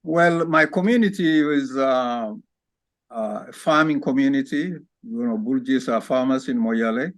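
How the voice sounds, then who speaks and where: Gravelly man from Kenya, reflective. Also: medium pitch, no room sound. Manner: reflective, unhurried storytelling. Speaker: orthopedic spine surgeon, guest. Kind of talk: interview, personal life story. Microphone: video call.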